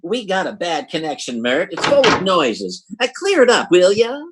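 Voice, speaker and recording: A man's voice: high voice, huge pitch swings, moderate pace, heavy background noise. A man playing a folksy, self-important American husband in old-time radio comedy style, stammering and blustering. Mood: impatient.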